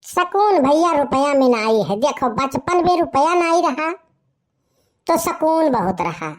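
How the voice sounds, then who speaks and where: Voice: sits high, big pitch swings, measured pace. A performer voicing a boastful young village slacker in a comic cartoon story, with a rustic eastern Uttar Pradesh Awadhi accent.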